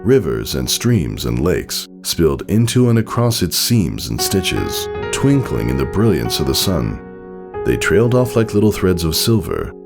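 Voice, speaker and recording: Low, creaky man narrating a story. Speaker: fan fiction narrator. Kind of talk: dramatic scripted story narration. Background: music.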